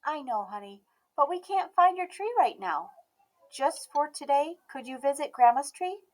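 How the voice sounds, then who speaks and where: A woman voicing a gentle, practical mother in a storybook, soothing and patient. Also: high voice, big pitch swings, moderate pace, pitched above the performer's own voice, low-quality recording.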